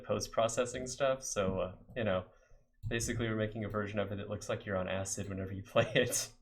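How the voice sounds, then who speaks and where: A young American man from Texas chatting casually to his viewers while coding on a live stream, rambling with lots of fillers. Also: on the low side. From Texas, USA. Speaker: game developer streamer.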